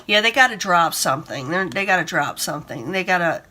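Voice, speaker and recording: A woman reading tarot cards in a casual, rambling way, hoarse from a cold and breathing hard. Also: lowish voice, animated, fairly quick, bright, forceful tone, a little hiss or hum. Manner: rambling, repeats herself, gives advice. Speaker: tarot reader.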